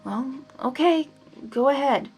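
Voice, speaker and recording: A woman's voice: high voice, animated, a little slow, creaky, a little mellow, husky, soft background music, voice pushed up, heavily acted. A woman voicing a concerned, cautious female pony with a hesitant, regretful manner in a story reading.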